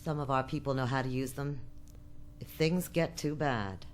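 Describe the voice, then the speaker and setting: A woman playing a shrewd, bossy outpost chief with a brisk, guarded, commanding manner in a radio drama. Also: a bass voice. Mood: tense, secretive.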